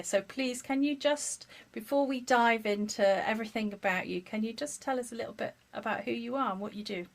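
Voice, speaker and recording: A woman's voice: medium pitch, a bit bright. A woman hosting an interview podcast, introducing and questioning a guest in a conversational way. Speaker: podcast host.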